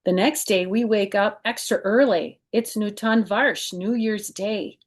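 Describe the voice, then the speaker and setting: A woman's voice: medium pitch, fairly even intonation, unhurried. A woman hosting a children's storytime, reading a picture book aloud expressively and adding chatty, informal explanations.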